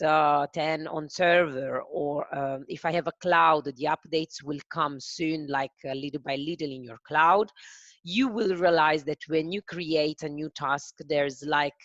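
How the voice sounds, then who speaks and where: A woman's voice: low-pitched, fairly bright tone, dry recording, highs cut off. Italian-accented woman hosting a webinar. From Italy. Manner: careful, halting, frequent fillers. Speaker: webinar host. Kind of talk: software demo webinar.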